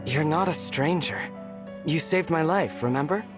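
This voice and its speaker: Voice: medium pitch, normal speed, over music, natural voice. An actor voicing an earnest, kind young dragon royal in a video game cutscene. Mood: gentle, caring.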